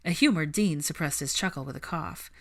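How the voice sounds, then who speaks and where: A woman's voice: low voice, a little fast, fairly bright tone. A woman reading a story aloud as a narrator, in a scripted storytelling style. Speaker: audiobook-style narrator of fan fiction.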